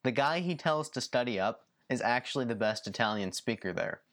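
A young man hosting a podcast, chatting casually, laughing often and jumping in excitedly. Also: medium pitch, a little fast. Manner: excitable, laughs often, jumps in over his guest. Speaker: podcast host. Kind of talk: casual chat.

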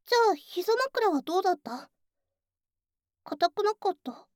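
Woman playing a high-voiced prickly tsundere girl. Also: sing-song, unhurried, dry recording, studio quality, heavily acted. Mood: flustered. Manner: brusque, teasing, flustered. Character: prickly tsundere childhood friend, young woman, secretly caring. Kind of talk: ASMR situation voice drama acting.

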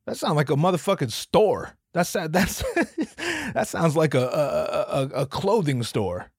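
A man's voice: a little high, expressive, measured pace, slightly rough, no room sound. Guy ranting solo on his podcast, swears a lot, trips over his words, totally dry room. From US West Coast, Pacific Northwest. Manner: profane, rambling, self-interrupting. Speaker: podcast host. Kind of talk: solo comedic podcast rant.

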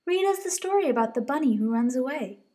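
A woman's voice: high-pitched, lively intonation, quick pace, warm tone, voice pushed up. A teenage girl voicing an eager little bunny child in a bedtime storybook. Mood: excited.

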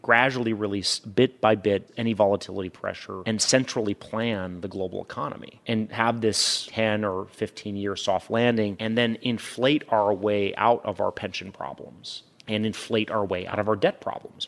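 A man's voice: lowish voice, steady tone, moderate pace, gravelly, few pauses. A man giving analytical interview answers as a guest fund manager, emphatic, with rhetorical questions and repeated phrases.

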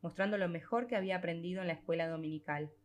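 A woman with a slightly low voice narrates a piece of literary fiction. She reads from a script in a measured way, drawing out certain phrases as an audiobook storyteller does.

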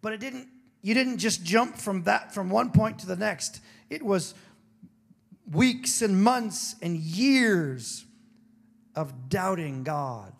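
A man preaching a sermon with a throaty, hoarse voice, moving between emphatic declarations and casual, joking asides. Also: high voice, lively intonation, slow pace. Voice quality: gravelly, throaty. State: hoarse after singing. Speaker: pastor.

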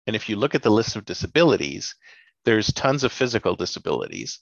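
A man with a gravelly, creaky voice chats casually and sarcastically, laughing now and then. The recording is dry and close, with a dull lo-fi sound. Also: on the low side, sing-song, fast talker, no room sound. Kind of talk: casual chat.

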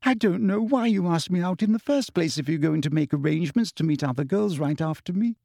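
A man's voice: sits high, big pitch swings, normal speed, darkish tone, dry recording, clean studio sound, pitched above the performer's own voice. A man voicing a hurt, jealous teenage girl who stammers as her voice breaks.

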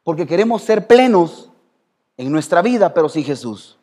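A Salvadoran pastor preaching to his church in a high voice. He talks conversationally and quizzes listeners by name. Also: sing-song, moderate pace, warm tone, husky. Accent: Salvadoran Spanish. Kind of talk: sermon. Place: church hall.

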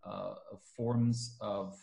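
A man's voice: on the low side, flat delivery, extremely slow, vocal fry, mellow. A man who teaches languages, talking casually with frequent fillers and restarts. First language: English. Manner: hesitant, many fillers and restarts. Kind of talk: casual conversation.

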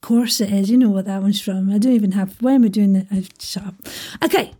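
A woman's voice: mid-range voice, fairly even intonation, brisk, dark tone, slightly rough, soft background music. A woman hosting a quiz in a casual, chatty way, playful and rambling as if talking to herself. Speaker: quiz host.

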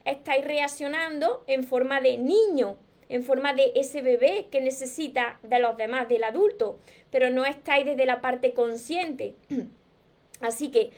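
A woman's voice: high-pitched, moderate pace. A middle-aged woman from Spain, speaking with a Spanish accent as a personal growth coach on a live stream, explaining earnestly and answering viewers' comments. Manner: earnest, teacherly, addresses viewers directly with repeated phrases.

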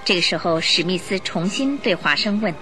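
A woman's voice: on the low side, lively intonation, a little fast, sharp tone. A woman reading dramatic scripted narration for a radio play. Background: music.

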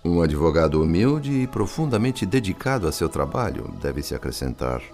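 A very low male voice with lively intonation plays a kind, earnest spirit in a Brazilian radio drama. He is formal and considerate, with a Brazilian accent. Faint music sits behind him. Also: normal speed. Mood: respectful, earnest. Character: André, compassionate, respectful male spirit, a worried father.

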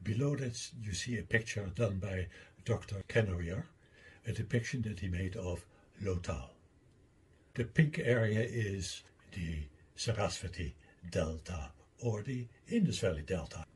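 An older man with a Dutch accent, a native Dutch speaker, giving a deliberate slide lecture and explaining his own theory step by step. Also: on the low side, a little mellow, breathy edge.

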